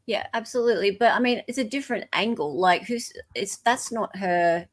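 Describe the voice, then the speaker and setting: A woman's voice: mid-range voice, normal speed. Australian woman hosting a casual chat. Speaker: host.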